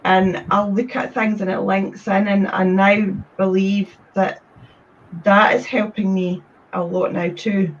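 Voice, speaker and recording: A woman speaks slowly and earnestly as an interview guest, pressing her points with emphasis. She sits away from the microphone, and the call audio sounds dull, with faint noise behind. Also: medium pitch, level tone, far from the mic. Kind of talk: casual interview chat.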